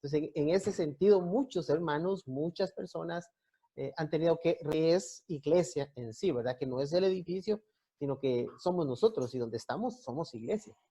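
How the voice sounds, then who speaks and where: A man in his forties with a Guatemalan accent, a youth pastor explaining things haltingly as an interview guest, on a muffled connection. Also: a little high, mellow, breathy edge.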